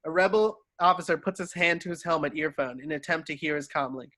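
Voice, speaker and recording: A man's voice: a little high, fast talker, bright, forceful tone. A man reading screenplay stage directions as narrator of a table read.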